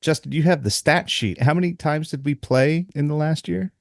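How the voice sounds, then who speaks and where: A man's voice: a little high, moderate pace, gravelly, no room sound, studio quality. A man co-hosting a podcast as the game's dungeon master, chatting casually, laughing often and restarting his sentences.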